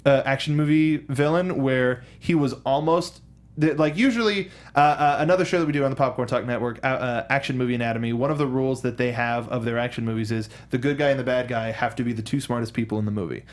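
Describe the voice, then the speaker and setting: Guy hosting a movie talk show, mid pitch, kinda halting and jokey, just chatting. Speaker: host of a film discussion show. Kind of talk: casual panel chat about movies.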